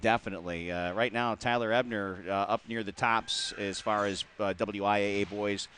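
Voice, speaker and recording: A man from the Upper Midwest hosting a local hockey talk show, chatty and quick to laugh, with many filler words. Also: lowish voice, fairly even intonation, fairly bright tone, a little hiss or hum. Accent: Upper Midwest American. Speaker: host. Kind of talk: casual sports talk.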